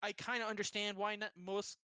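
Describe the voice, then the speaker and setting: A man's voice: high-pitched, little pitch movement, fairly quick, sharp tone, clear, resonant tone, no echo. A man in his thirties with a youthful-sounding voice, rambling in casual chat between tabletop players. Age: 30s. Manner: rambling, many restarts, laughs at himself.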